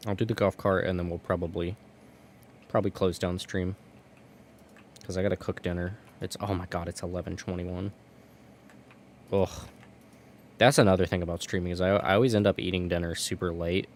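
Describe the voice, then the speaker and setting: A man's voice: deep voice, flat delivery, brisk, pauses often, a little hiss or hum. A man streaming from home, chatting casually while gaming, rambling and restarting his words.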